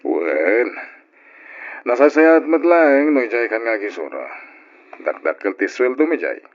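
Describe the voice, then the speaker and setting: A man's voice: mid-range voice, very animated, unhurried. A man playing a caring, persuasive older brother in an old-style radio drama, on a dull lo-fi recording. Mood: coaxing.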